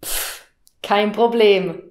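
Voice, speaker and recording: Woman teacher talking slow n clear to learners, explaining stuff step by step, echoey room. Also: medium pitch. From southern Germany, near Stuttgart. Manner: clear, didactic, carefully enunciated for learners. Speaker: German teacher, workshop host. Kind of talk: instructional presentation to camera, addressing learners directly. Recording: roomy, echoing room sound.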